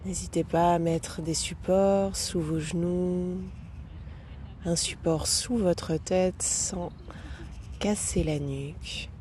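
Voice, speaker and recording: A woman guiding a yoga nidra meditation as a teacher, speaking calmly and gently with long pauses between phrases. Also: on the low side, slight background noise. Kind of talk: guided meditation, soothing instruction.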